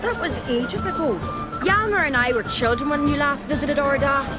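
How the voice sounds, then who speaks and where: An actress playing a bold, competitive young jarl's daughter in a fantasy game, brisk, cheeky and challenging. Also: female voice, sits high, natural voice. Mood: teasing, spirited. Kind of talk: video game cutscene acting. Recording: loud music behind the voice.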